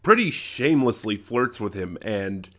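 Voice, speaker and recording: A man giving a casual, unscripted, opinionated review, stuffed up with a head cold, halting and often rephrasing as he thinks. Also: on the low side, very animated, fairly bright tone.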